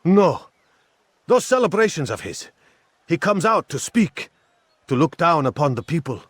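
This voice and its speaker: An actor playing a cornered, cynical informant in a video game, talking in a conspiratorial, resentful way. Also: male voice, fairly high pitch, very animated, a little slow, no echo. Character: cornered, cynical informant bargaining for his life. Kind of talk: video game cutscene acting.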